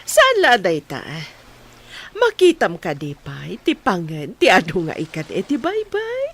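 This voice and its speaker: Audio drama actress as contented mother: gentle, unhurried, widely swooping intonation. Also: lowish voice, wildly swooping pitch, heavily acted. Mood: contented, tender. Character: tender, contented mother talking to her daughter. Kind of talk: dramatized audio story acting. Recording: faint background noise.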